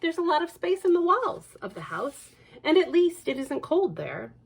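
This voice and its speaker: A woman voicing a calm, determined little girl in a children's storybook reading, matter-of-fact and plainspoken. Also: very high voice, moderate pace, gravelly, voice pushed up, heavily acted.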